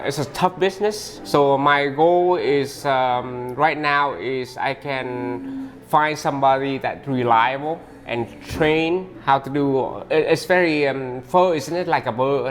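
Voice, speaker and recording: A man who owns a pho restaurant, talking in an interview in halting English with many restarts. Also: mid-range voice. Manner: halting, restarts often, non-native English phrasing.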